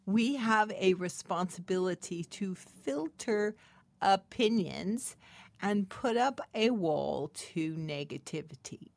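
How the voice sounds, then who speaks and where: Casting: middle-aged female inspirational speaker, unhurried delivery, emphatic testimonial style with intimate asides, dry close sound. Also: mid-range voice, lively intonation, gravelly, darkish tone, no room sound. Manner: emphatic and testimonial, repeating phrases for weight; intimate, chuckling asides to the listener. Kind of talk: devotional talk addressed to listeners.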